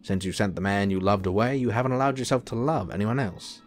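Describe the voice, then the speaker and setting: A man playing a serene, gently persuasive angel in human guise in an audio drama, calm and assured. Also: lowish voice, quick pace, soft background music, close to an everyday voice. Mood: gently firm.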